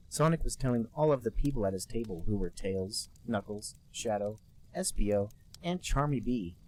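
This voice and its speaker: A man at an ordinary middle pitch, reading a clumsy fan story aloud for laughs. His delivery is deadpan, and he drops in dry, mocking asides between the lines. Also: poor audio. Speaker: podcast co-host reading a fanfic aloud. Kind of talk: comedic dramatic reading.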